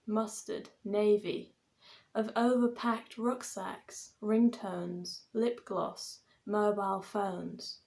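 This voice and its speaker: A woman reciting her own poem deliberately, pausing between short phrases. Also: medium pitch, creaky, a little mellow. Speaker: poet reading own poem. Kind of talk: poetry recitation.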